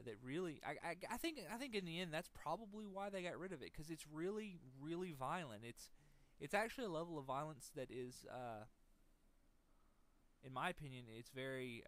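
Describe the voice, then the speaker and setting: A man giving casual, unscripted video game commentary, speaking haltingly, hedging and repeating words as he thinks. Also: a little high, a bit bright. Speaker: let's play commentator.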